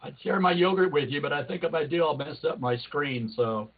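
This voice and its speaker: A man's voice: on the high side, lively intonation, fairly quick. A man hosting a club meeting over a video call, who jokes and rambles as he talks. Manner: joking, rambling. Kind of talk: casual video call chat. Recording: tinny call audio.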